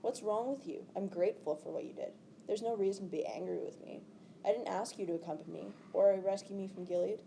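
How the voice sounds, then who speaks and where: A woman's voice: mid-range voice, fast, creaky, dark tone, slight background noise, lightly acted. A woman reading the part of an earnest, stubborn teenage boy hero in a storybook. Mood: defensive.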